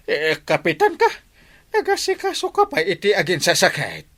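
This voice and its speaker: A put-upon village captain with an Ilocano accent in a radio comedy. His pitch leaps about widely as he grumbles like a harried husband. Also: wildly swooping pitch, normal speed, a bit bright, full character voice. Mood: exasperated.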